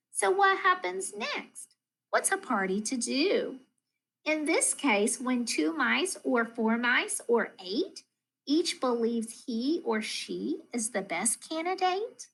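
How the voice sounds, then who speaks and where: Female storybook reader, school-educator read-aloud. Higher register, unhurried pace, rhythmic and expressive delivery of children's verse. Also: creaky, rough recording. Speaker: school math coach reading aloud.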